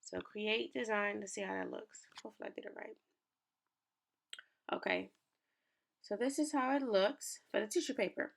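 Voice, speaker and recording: A young woman talks viewers through a design tutorial on her screen. Her voice sits at a middle pitch and is raspy and gravelly from the early morning. She is chatty and upbeat and speaks to the viewers directly. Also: normal speed, long pauses. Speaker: small business owner and lifestyle creator. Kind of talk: screen-share tutorial walkthrough.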